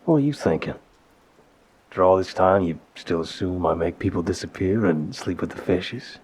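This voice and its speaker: A man playing a soft-spoken, apologetic mafia boss boyfriend in an intimate ASMR roleplay, hesitant and gentle, with a low, husky, breathy voice. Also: a little hiss or hum, lightly acted. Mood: teasing. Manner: hesitant, gentle, sighing pauses. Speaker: audio roleplay performer. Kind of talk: ASMR audio roleplay, one-sided intimate phone call.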